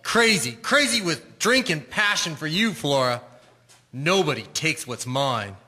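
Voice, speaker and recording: Radio drama: young male sailor played by an actor, cocky hothead, sharp tone, pitched a little high. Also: big pitch swings, unhurried, slightly rough, heavily acted. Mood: enraged. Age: young adult. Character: brash, lustful, hot-tempered young sailor, later penitent.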